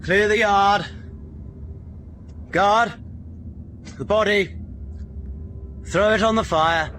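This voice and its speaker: An actor playing a cold, curt military prison officer giving commands in a radio drama. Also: male voice, high-pitched, extremely slow, bright, forceful tone, a little hiss or hum, full character voice.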